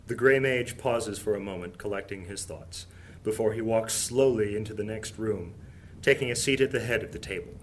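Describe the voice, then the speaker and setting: A man's voice: fairly low pitch, normal speed, a little hiss or hum, lightly acted. A man performing as the narrator of a fantasy audio drama, reading scripted storybook narration. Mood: measured.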